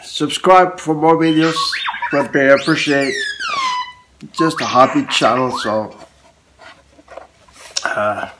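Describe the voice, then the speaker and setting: A man in his forties with a cold, chatting casually in a low-key, halting way as he hosts a hobby unboxing vlog. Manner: halting, low-key, short clipped phrases. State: has a cold. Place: small room at home, indoors, on a couch. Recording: clear background noise, handling clicks.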